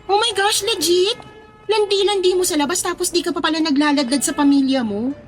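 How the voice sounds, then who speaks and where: A young Filipina best friend with a high voice. She is expressive and inquisitive, teasing in casual slang for an audio drama, with music underneath. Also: brisk. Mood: curious, teasing.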